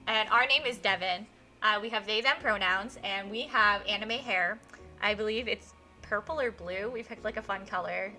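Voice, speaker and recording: A woman hosting a live game stream with casual, effusive, excitable chat. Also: mid-range voice, normal speed. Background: music.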